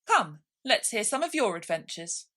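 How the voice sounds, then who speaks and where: A woman's voice: medium pitch, sing-song, moderate pace, bright, forceful tone. A woman voicing a brusque, impatient gryphon in a storybook, bossy and abrupt.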